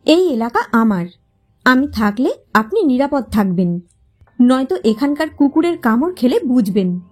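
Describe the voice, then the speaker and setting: Woman reading as an easygoing young man, high-pitched. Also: sing-song, unhurried, darkish tone, soft background music. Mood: teasing, casual. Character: easygoing, teasing young man with a wistful streak. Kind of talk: dramatised audio short story.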